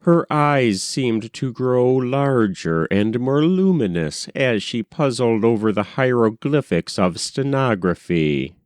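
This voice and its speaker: Casting: male audiobook narrator, unhurried and measured, widely varied intonation, literary short fiction. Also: mid-range voice, expressive, clean tone, no echo, clean studio sound. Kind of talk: scripted narration of a short story.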